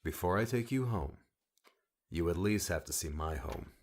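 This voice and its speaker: A man voicing a weary, brusque rescuer with a secret caring side and a husky voice, in a dramatic audiobook. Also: low-pitched, expressive, normal speed, noisy background. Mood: awkward, softening. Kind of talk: dramatic audiobook character dialogue.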